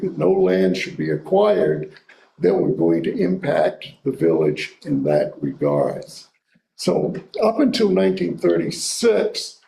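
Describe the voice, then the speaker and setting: A man's voice: on the high side, gravelly, mellow. A man giving a local history talk and answering audience questions, thoughtful and hedging as he speaks. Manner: thoughtful, hedging, restarts phrases. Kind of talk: lecture and audience Q&A. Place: library meeting room. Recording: echoey room, faint hiss.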